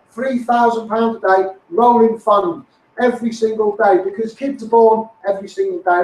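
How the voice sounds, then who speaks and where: High-pitched Scouse man, echoey and distant. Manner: insistent, repetitive, directly addressing politicians. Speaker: campaigning video host. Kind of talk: impassioned campaign monologue to live viewers.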